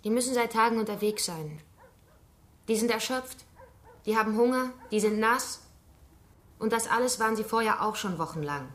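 A woman's voice: a little high, expressive, moderate pace, pauses often, close to an everyday voice. An actress playing an insistent, outspoken woman activist in a radio drama, speaking in a forthright, indignant manner. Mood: indignant, urgent.

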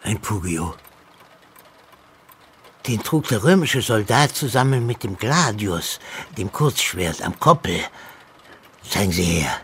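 Elderly scatterbrained professor, very husky and breathy, slow, swooping intonation, radio play. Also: male voice, on the low side, heavily acted. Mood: marvelling. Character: frail, friendly, scatterbrained old retired professor. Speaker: actor in a radio drama. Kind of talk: radio drama acting.

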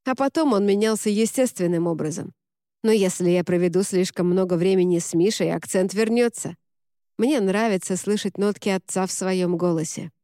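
A woman voicing a gentle, sad boy who speaks calmly and quietly in a storybook reading. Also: medium pitch, normal speed, no echo, studio quality, lightly acted. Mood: quiet, wistful.

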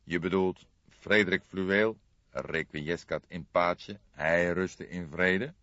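Man voicing a low, measured older detective. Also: pushed and bright, no echo, muffled recording, exaggerated voice. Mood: wry. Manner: measured, formal, wry. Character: older male police detective, calm, wry, deliberate. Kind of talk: audiobook character voice.

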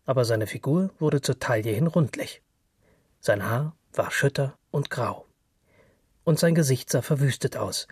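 A man with a husky voice and a middling pitch narrates literary fiction from a script. The recording is dry, with no sense of a room. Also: a little mellow, no room sound.

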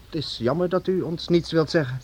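A man's voice: on the high side, fairly quick, darkish tone, natural voice. An actor playing a polite, curious young painter's apprentice in a radio drama, courteous and a little hesitant in his speech. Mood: polite, nervous. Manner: courteous, hesitant, stammering when addressing his elder. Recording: noisy old radio drama recording.

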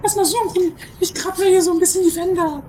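A woman's voice: very high-pitched, steady tone, quick pace, dark tone, a little hiss or hum. A woman playing a bossy, flippant and dramatic adventurer in an improvised horror-comedy radio play. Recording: constant music under the voice.

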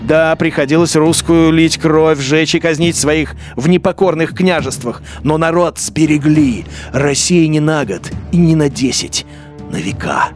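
An actor playing a Russian collaborator officer who justifies his treason in a radio play inner monologue, brooding and self-justifying, swinging between murmurs and outbursts. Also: a little high.

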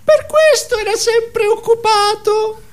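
A man voicing an anxious, plaintive married female owl in a slow falsetto for an absurdist radio comedy sketch.

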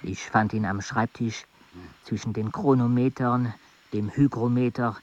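A man playing a husky, doubting companion. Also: medium pitch, steady tone, a little slow, a little mellow, dull audio, natural voice. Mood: dry. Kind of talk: radio drama narration and dialogue.

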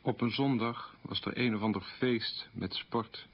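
A man's voice: on the low side, fairly even intonation, measured pace, slightly rough. An actor playing a troubled middle-aged man who candidly recalls his wartime boyhood in a plain, anecdotal radio play monologue. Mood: reminiscing. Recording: muffled old radio recording.

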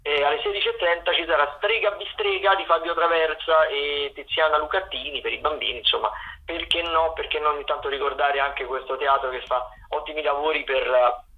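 The sound is thin and tinny, like a phone line. A man with a slightly high voice presents listings as a radio host. He talks off the cuff, haltingly, drawing out words and correcting himself as he goes. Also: steady tone. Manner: halting, improvised delivery with drawn-out words and self-corrections. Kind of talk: unscripted radio programme rundown of upcoming shows.

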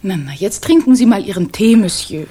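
An actress in a German radio crime drama playing a coy, soothing housemaid, deferential and secretive, with a husky voice. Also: female voice, medium pitch, expressive, brisk, mellow. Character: coy, soothing, protective housemaid in 1917 Paris. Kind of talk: German radio play acting.

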